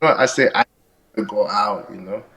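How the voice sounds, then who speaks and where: A young man from Burundi, a podcast guest, talking over his life in a halting, reflective way full of fillers. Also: fairly low pitch, pushed and bright, breathy edge, rough recording. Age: young adult. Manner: reflective, halting, many "you know" fillers. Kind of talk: casual conversation.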